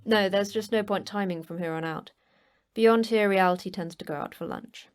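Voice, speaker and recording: Sci-fi podcast drama: actress as unflappable woman boss of a secret facility, brisk and dry, medium register. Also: medium pitch, clean tone, lightly acted. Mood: dry, deadpan. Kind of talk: audio drama acting.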